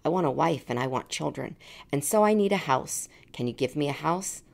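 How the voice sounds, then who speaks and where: A woman's voice: deep voice, expressive, brisk, creaky. A woman reading a storybook, voicing a needy, self-centred boy with a plaintive manner. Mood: demanding. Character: needy, self-centred boy who grows old and weary. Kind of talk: storybook read-aloud.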